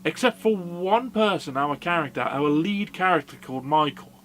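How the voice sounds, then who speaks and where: High-voiced sarcastic man reviewing films. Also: animated, normal speed, a bit bright, faint music behind. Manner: sarcastic, sweary. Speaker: film review video host. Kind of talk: scripted comedic film review narration.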